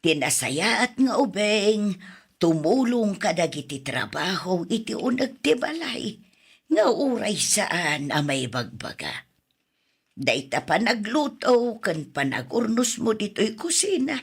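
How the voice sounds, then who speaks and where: A woman's voice: medium pitch, big pitch swings, breathy edge, heavily acted. A woman acting an exasperated, nagging but caring older grandmother in an Ilocano radio drama. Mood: gentle admonishing. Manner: nagging, sighing, drawn-out pleading.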